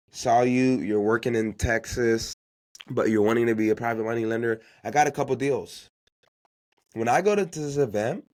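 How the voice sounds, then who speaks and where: A man's voice: mid-range voice, a little mellow. A man who grew up speaking Haitian Creole gives unscripted motivational business advice as a podcast host, emphatically repeating key phrases and posing rhetorical questions.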